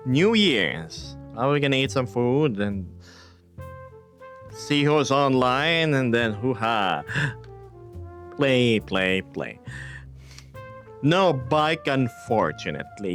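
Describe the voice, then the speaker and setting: A man hosting a casual live stream, reading viewer messages aloud in a playful, laid-back way with hushed asides and upbeat bursts. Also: mid-range voice, big pitch swings, extremely slow, pauses often, soft background music.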